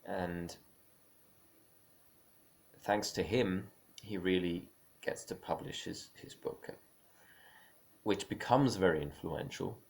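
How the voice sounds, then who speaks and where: Very deep, gravelly-voiced man musing aloud. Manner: halting, with frequent restarts and small laughs; musing, opinionated. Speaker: speaker on creative careers. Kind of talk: unscripted spoken monologue.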